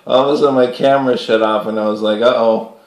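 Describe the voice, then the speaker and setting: A man chatting casually as a CB radio operator, easygoing and a little halting, repeating himself. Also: medium pitch, steady tone. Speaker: CB radio operator making a video. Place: radio station set-up, recorded on camera. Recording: roomy, echoing home radio station.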